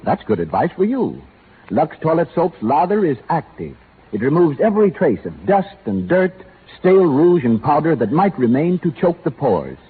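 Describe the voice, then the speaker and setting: A man's voice: a little high, very animated, moderate pace, slightly rough. A male radio announcer reading a polished, persuasive 1930s commercial, tinny old broadcast sound.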